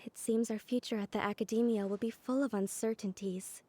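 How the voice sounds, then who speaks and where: A woman's voice: fairly high pitch, fairly even intonation, fairly quick, mellow, slight background noise, lightly acted. A woman playing a worried, regretful academy scholar in a video game, formal and anxious.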